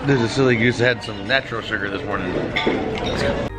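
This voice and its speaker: A man's voice: fairly low pitch, fairly quick, a loud crowd, low-quality recording. A man in his thirties, a family vlogger and dad, chatting playfully to his kids and a handheld camera held at arm's length indoors. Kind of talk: casual family vlog chat. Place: hotel room, lift and restaurant indoors. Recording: music playing underneath.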